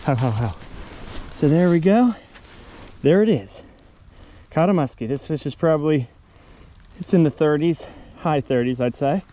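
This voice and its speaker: A man with an American accent narrating his fishing trip on a creek bank, recorded on a chest-mounted action camera, relaxed and chatty but excitable when a fish bites. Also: fairly high pitch, measured pace, long pauses. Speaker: fishing vlogger. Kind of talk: casual outdoor narration. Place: creek bank outdoors in winter.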